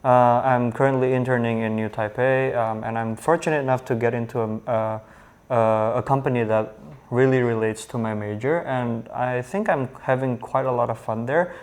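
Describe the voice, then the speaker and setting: A man's voice: medium pitch, fairly even intonation, moderate pace, darkish tone. A young man, a student intern on a panel, speaking casually and hesitantly with many "um" and "uh" fillers. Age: young adult.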